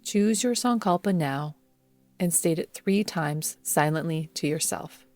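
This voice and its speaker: A woman guiding a yoga nidra meditation in a soothing, measured manner, leaving long pauses between phrases. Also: low voice, a little mellow. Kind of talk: guided meditation narration. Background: background music.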